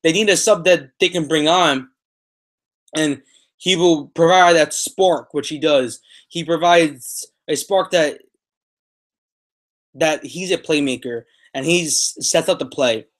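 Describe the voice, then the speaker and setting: A man's voice: on the high side, takes it slowly, a bit bright. A young man hosting a soccer podcast, talking unscripted to a webcam in a room at home and repeating phrases for emphasis. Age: young adult. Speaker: sports podcast host. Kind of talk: unscripted soccer commentary to camera. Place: small room at home, indoors. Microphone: webcam or laptop at arm's length.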